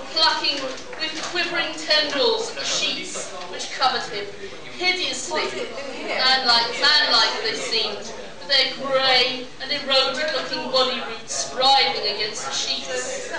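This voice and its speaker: A woman reading scripted pulp science fiction narration for a live radio play. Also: sits high. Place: convention hall before an audience. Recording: echoey hall, far off, audience noise.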